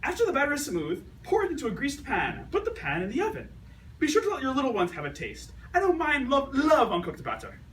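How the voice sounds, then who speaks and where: A woman's voice: sits high, huge pitch swings, fast talker. A woman playing a genteel, cheerful 1920s housewife who hosts a radio cooking program, theatrical and instructional. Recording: slightly noisy, distant amateur recording.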